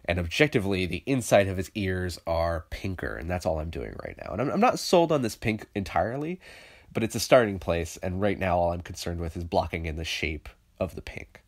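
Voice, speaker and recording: A man's voice: deep voice, very animated, brisk. A man with a stuffy, congested voice from a cold, talking through his digital painting process in a loose, thinking-aloud tutorial voice-over. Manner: thinking aloud, loose and conversational with many fillers. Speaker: illustrator teaching digital painting.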